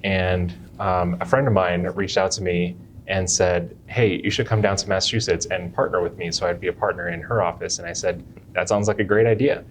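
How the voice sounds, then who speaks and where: Man, very low voice, earnest interview answers, repeats words while thinking, faint hiss. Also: fairly even intonation, brisk. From Jamaica. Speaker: chiropractor. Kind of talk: oral history interview answers.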